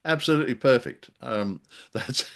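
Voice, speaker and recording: A man's voice: mid-range voice, moderate pace, creaky, fairly bright tone. A man co-hosting a podcast, chatting casually about old radio drama in a wry, talkative manner. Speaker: podcast co-host.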